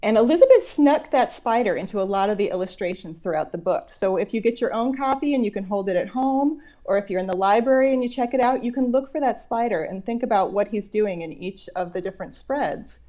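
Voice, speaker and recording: A woman author reading a picture book aloud to children, going between slow measured reading and conversational explanatory asides. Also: mid-range voice, expressive. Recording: dull, lo-fi online call audio.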